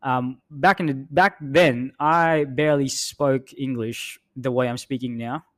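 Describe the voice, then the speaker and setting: A man's voice: mid-range voice, steady tone, a little slow, a bit bright. Laid-back joking man telling his story. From Philippines. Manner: laid-back, self-deprecating, many um's. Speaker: podcast co-host. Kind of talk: casual storytelling chat.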